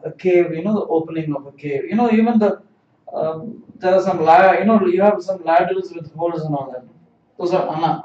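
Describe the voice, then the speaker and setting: Male kanji teacher, high voice, repetitive explainer, echoey room. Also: mellow, slight background noise. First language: not Japanese. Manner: explanatory, repeats words, asks "right?". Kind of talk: livestream kanji lesson. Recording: distant, roomy, dull lo-fi stream audio.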